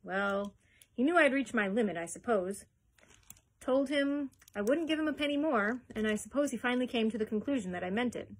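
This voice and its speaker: A woman reading aloud and voicing a gruff, blustering old male Duke who roars his orders. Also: fairly high pitch, expressive, fairly quick. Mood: grumbling. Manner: roaring, bossy.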